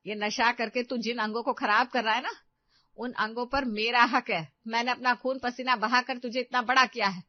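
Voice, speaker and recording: A woman's voice: a little high, no echo. A melodramatic middle-aged mother, acted by a woman, overprotective and nagging. She scolds and pleads in a comic audio-story manner. The recording is close, dry and a little muffled. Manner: scolding, emotionally blackmailing. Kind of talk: comedic Hindi audio story acting.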